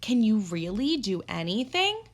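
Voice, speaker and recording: A woman's voice: medium pitch, sing-song, measured pace. A woman voicing a little brown squirrel in a children's storybook, persistent and teasing, with a sing-song manner. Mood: challenging. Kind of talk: children's storybook read-aloud.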